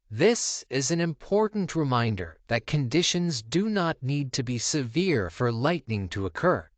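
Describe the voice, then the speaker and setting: A male narrator delivers scripted documentary voice-over in a measured, dramatic way. His pitch moves around a lot, giving the phrases an animated lift. The sound is dry and close, with no room echo. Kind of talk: scripted documentary-style narration for a video countdown.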